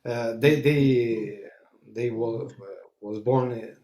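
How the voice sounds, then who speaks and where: A man, a rabbi talking in a halting way, stopping often to search for words. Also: medium pitch, steady tone, warm tone, roomy sound, rough recording. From Argentina. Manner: halting, searching for words, many fillers and restarts. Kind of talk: conversational discussion, switching between English and Portuguese.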